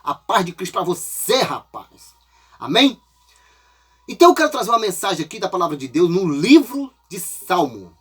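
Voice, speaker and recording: A man's voice: sits high, very animated, normal speed, a bit bright, breathy edge. A man preaching an informal, folksy sermon while congested with a cold, often tagging his phrases with a questioning aside.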